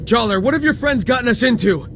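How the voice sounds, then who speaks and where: An actor playing a desperate, sick villager and devoted husband in a video game, emotional and faltering. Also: male voice, extremely high pitch, lively intonation, fast talker, vocal fry, fairly bright tone, a little hiss or hum, full character voice. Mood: desperate pleading. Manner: pleading, stammering, emotional. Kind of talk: video game dialogue acting.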